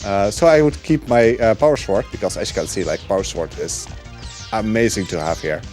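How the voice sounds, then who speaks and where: A young man with a lowish voice commentating his speedrun in a loose, chatty way, often pausing on "uh" and laughing lightly. Game music plays faintly behind him. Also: darkish tone. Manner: chatty, with frequent uh fillers and laughs. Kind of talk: casual commentary while gaming.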